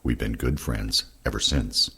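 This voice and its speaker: Very husky, gravelly deep-voiced man. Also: unhurried, pushed and bright. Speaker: reader of veterans' recollections. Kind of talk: reading oral history aloud, scripted narration.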